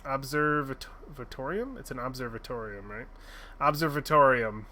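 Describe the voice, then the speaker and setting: A man's voice: mid-range voice, animated, normal speed, pushed and bright. A man streaming a game, recapping the story in a rambling, joking way with lots of fillers and casual swearing. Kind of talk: casual let's-play commentary.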